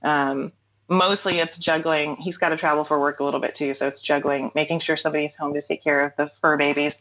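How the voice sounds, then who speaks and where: Woman, low voice, chatty and rambling, muffled lo-fi audio. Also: little pitch movement, brisk, fairly bright tone. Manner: chatty, rambling with fillers and laughs. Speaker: interview guest, singer-songwriter. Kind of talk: casual interview chat.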